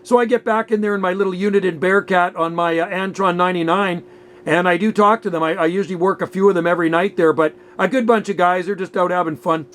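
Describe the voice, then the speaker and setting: Guy, high voice, chatting on the radio, chummy slangy operator talk. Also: fairly even intonation, quick pace, fairly bright tone. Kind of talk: casual radio contact chat.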